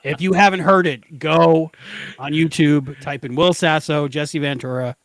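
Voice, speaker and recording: A middle-aged man co-hosting a podcast, chatting casually about pop culture in an animated, slangy, emphatic way. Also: on the high side, measured pace, no room sound. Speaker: podcast co-host.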